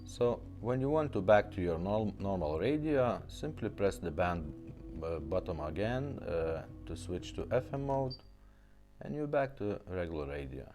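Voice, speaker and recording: A man who speaks English as a second language, giving a casual, halting step-by-step product demonstration. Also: deep voice, big pitch swings, darkish tone, soft background music. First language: not English. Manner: halting, plain step-by-step explaining. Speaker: presenter demonstrating restored car radios.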